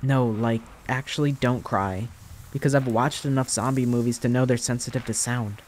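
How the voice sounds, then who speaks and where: A young slacker boyfriend, acted by a man in an ASMR audio roleplay, with a mid-pitched voice. He rambles casually, swearing and flippant, in a deadpan way. There is noticeable ambience noise behind him. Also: moderate pace, close to an everyday voice. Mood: casual, reassuring. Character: young slacker boyfriend sheltering his crush from zombies, flippant.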